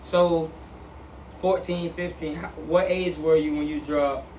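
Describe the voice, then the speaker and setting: A man hosting a casual interview, relaxed and quick with short affirmations. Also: a little high, reverberant. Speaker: interviewer host. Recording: far from mic with faint noise.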